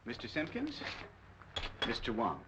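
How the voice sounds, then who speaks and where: A man's voice: medium pitch, wildly swooping pitch, measured pace. A man playing a genial, obliging academic with polite, educated delivery in a 1930s film. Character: genial, eager-to-help male university academic. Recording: muffled, noisy 1930s film soundtrack.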